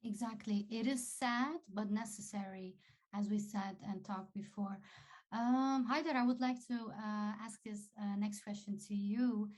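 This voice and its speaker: A woman with a medium-pitched voice moderates a book event. She phrases her questions politely and carefully, in a measured way. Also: steady tone, a little mellow. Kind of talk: hosted panel questions.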